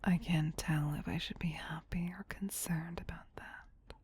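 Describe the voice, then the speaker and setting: A woman playing a possessive, teasing, soothing vampire queen in a whispered ASMR roleplay, breathy, hushed and doting yet domineering. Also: sits low, steady tone, a little slow. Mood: gentle soothing.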